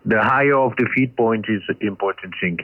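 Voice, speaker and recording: Over a ham radio link, a man who is not a native English speaker talks haltingly, searching for words and repeating himself. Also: on the low side, no room sound. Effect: ham radio transmission. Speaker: amateur radio operator. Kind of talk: casual radio conversation. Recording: muffled, band-limited shortwave radio signal.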